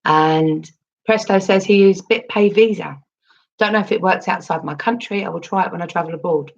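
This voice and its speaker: A woman with a husky voice, recovering from losing it, chatting casually on a livestream, answering her chat with lots of ums and restarts. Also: fairly low pitch, normal speed, highs cut off. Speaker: crypto livestream host reading chat.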